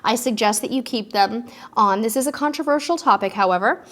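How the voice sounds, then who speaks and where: A woman's voice: on the high side, brisk, fairly bright tone. A woman hosting a live cruise-tips stream and answering viewer questions in a chatty, upbeat way, a little stuffy from allergies. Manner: chatty and upbeat, with frequent ums.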